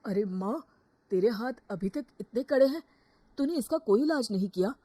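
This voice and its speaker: A woman's voice: on the high side, expressive, normal speed, mellow, no room sound. Woman doing an earnest young husband, dry sound. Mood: caring. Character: earnest young married man, devoted son. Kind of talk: acted story dialogue.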